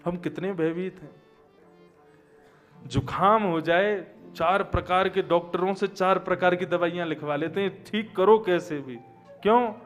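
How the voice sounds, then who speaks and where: A young man giving a devotional sermon, telling stories and chanting verses, quiet one moment and loud and emphatic the next. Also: high voice. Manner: storytelling, swings from quiet to loud emphatic exclamations. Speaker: Bhagavat katha preacher.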